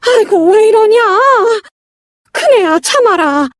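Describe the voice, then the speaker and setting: A woman's voice: very high-pitched, animated, slow, dry recording, studio quality, full character voice. A woman voicing a distressed elderly mother in a narrated family drama, wailing and reproaching her grown sons.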